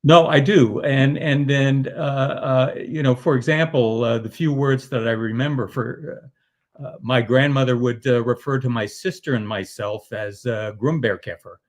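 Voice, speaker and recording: Halting older male guest. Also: mid-range voice, steady tone. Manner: halting, many filler sounds. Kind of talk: interview answers.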